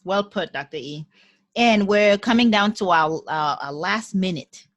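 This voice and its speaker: A woman from Haiti with a Haitian accent, a podcast guest talking in a lively way and often repeating words as she gathers her thoughts. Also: mid-range voice, a little slow, no room sound, highs cut off. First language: French and Haitian Creole. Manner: animated, repeats words while thinking. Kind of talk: casual interview conversation.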